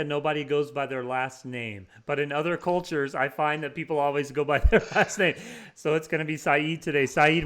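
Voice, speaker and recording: A man's voice: fairly high pitch, fairly bright tone. A man hosting an interview show in a casual, upbeat way, laughing often and padding his talk with fillers. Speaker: podcast host.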